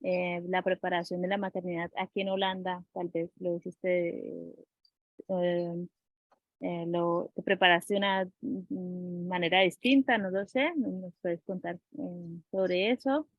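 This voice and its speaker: A woman interviewer asking questions conversationally, with hesitant, halting phrasing. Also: on the low side, steady tone, no room sound, phone-quality sound.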